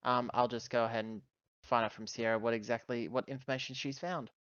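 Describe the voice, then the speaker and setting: A man's voice: mid-range voice, fairly even intonation, brisk, fairly bright tone, clean tone, dry recording, lo-fi sound. A man chatting casually as a player in a tabletop roleplaying game, deliberating as he goes.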